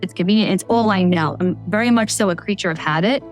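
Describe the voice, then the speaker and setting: A woman's voice: medium pitch, fast, faint music behind. Candid woman in her forties. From Staten Island, New York. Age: 40s. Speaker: local resident interviewee. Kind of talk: documentary interview.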